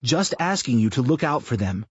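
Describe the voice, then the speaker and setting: A man's voice: mid-range voice, sing-song, moderate pace, slightly rough, no room sound, muffled recording, voice pushed up. A man playing a weary, earnest father. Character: troubled, earnest middle-aged father.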